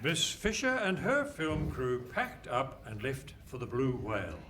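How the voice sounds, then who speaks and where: A man's voice: medium pitch, huge pitch swings, unhurried. A man acting as a wry, bemused narrator in a comic radio play performed live. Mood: wry, questioning. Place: hall stage before a live audience. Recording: faint noise, distant stage mic.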